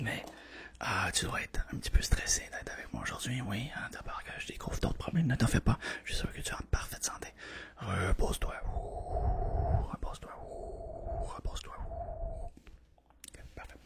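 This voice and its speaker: Whispering man with a creaky, soft voice. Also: huge pitch swings, moderate pace. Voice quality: breathy whisper mixed with soft, creaky low voice. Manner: gentle, reassuring, repeats soft words. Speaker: ASMR performer playing a doctor. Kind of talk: whispered ASMR medical roleplay.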